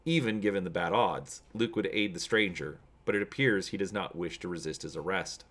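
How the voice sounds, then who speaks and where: A man's voice: sits low, a little fast, fairly bright tone. A man hosting a tabletop gaming channel, chatting to viewers and reading an adventure story aloud as narrator. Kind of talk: casual video intro and dramatic reading of story narration.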